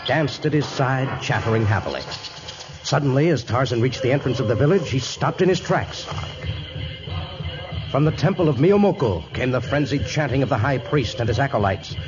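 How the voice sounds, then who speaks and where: A man's voice: medium pitch, natural voice. A man narrating a jungle adventure serial in dramatic 1950s radio style. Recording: muffled old radio recording.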